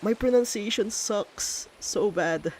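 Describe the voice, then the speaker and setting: A man's voice: high voice, a little mellow, a little hiss or hum, rough recording. A man from the Philippines with a Filipino accent and Tagalog as his first language, chatting casually as he builds in a game and stopping to hunt for English words. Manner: thinks aloud, pauses to hunt for English words. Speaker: streamer.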